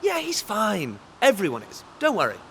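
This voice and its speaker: A man's voice: high voice, wildly swooping pitch, moderate pace, fairly bright tone, slight background noise, heavily acted. A man playing a plucky, cheeky, protective young adventurer prince in a video game, with a bold, informal manner. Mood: relaxed, reassuring.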